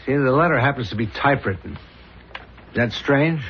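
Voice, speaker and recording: An actor playing an earnest, dogged homicide inspector in a 1970s radio drama, serious, probing and deliberate, on a dull old recording. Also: male voice, mid-range voice, a little hiss or hum, lightly acted. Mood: probing, businesslike.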